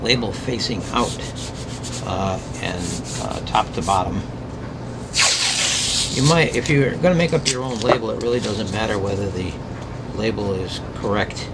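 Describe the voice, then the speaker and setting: A man giving an informal hands-on craft demonstration, explaining step by step in a halting, unscripted way. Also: lowish voice. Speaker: craft instructor demonstrating scroll saw work. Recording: loud ambient hum and workshop noise.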